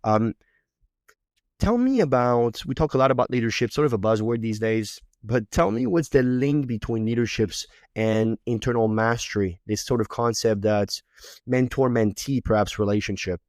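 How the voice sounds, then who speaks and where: A man's voice: medium pitch, expressive, moderate pace, darkish tone, dry recording, studio quality. A man from Quebec whose first language is French, speaking English with a Québécois French accent as a podcast host, often repeating words as he builds his thoughts. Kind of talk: reflective interview talk.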